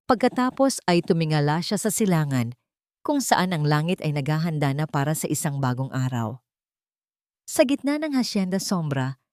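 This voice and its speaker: Female Filipino storyteller, low-pitched, earnest and sentimental, reading dramatic narration in a very dry close recording. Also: a little mellow, no echo. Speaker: story narrator and channel host.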